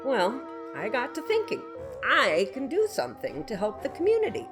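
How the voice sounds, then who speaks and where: A woman playing a kooky, folksy, alien-obsessed shopkeeper who pitches theatrically in a parody local radio commercial. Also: huge pitch swings, normal speed. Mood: folksy, proud. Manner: theatrical, folksy, dramatic salesmanship. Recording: music bed under the ad.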